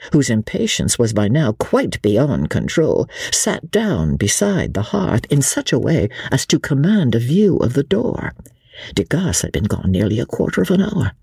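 Gravelly lady reading an audiobook, super dramatic, pitch swings a lot, suspenseful. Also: warm tone, no room sound, clean studio sound. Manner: theatrical, suspenseful. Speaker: audiobook narrator. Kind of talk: dramatic scripted narration.

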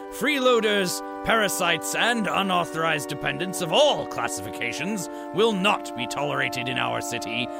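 Pompous, gravelly-voiced radio propagandist. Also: male voice, mid-range voice, bright, forceful tone, exaggerated voice. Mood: scornful. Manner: grandiloquent, theatrical, wordy. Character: pompous, fussy, bitter old city propagandist narrator. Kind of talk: audio drama narration, mock broadcast.

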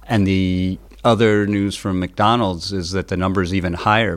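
A man with a very deep voice speaks as a news editor. He explains stories conversationally, in the manner of a guest analyst on a news show. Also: creaky. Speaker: news editor giving a report.

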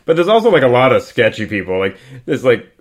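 A man's voice: mid-range voice, big pitch swings, fast. A young man from Canada co-hosting a casual podcast, rambling through a story with restarts and laughs. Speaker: podcast co-host. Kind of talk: casual chat. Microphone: podcast microphone.

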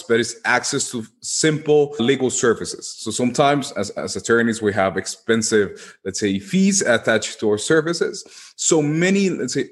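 A man's voice: mid-range voice, a bit bright. A man from Guatemala with a Guatemalan Spanish accent, explaining earnestly in an interview and often padding phrases as he goes. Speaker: podcast guest, legal tech executive.

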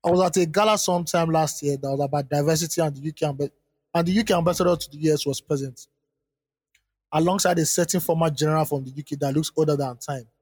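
A man co-hosting a podcast, reading mail and chatting at a brisk clip. His voice sits a little high with a husky edge. A long reverb tail trails behind his words. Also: fairly even intonation, a little mellow. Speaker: podcast co-host. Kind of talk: reading listener mail aloud, then casual discussion.

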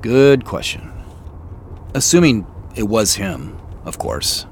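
An actor playing an inquisitive, slightly sheepish amateur sleuth in a naturalistic audio drama, talking in an easygoing, conversational way. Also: male voice, lowish voice, sing-song, slow pace, heavily acted. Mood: guarded, thoughtful. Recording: faint vehicle noise behind.

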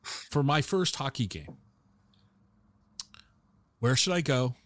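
A man's voice: medium pitch, animated, slow pace, a bit bright, no echo. A man hosting a podcast, asking questions in a casual, conversational way. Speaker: podcast interviewer and host.

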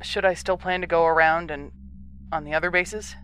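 A woman playing a calm, supportive confidante in an audio drama, measured and understated, over slight ambient noise. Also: lowish voice, lightly acted. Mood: quietly supportive. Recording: faint background ambience.

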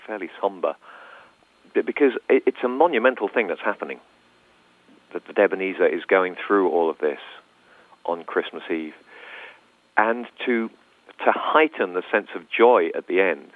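A man giving a thoughtful interview as an actor, pausing and repeating words as he goes. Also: deep voice, no echo. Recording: narrow, tinny phone-like line.

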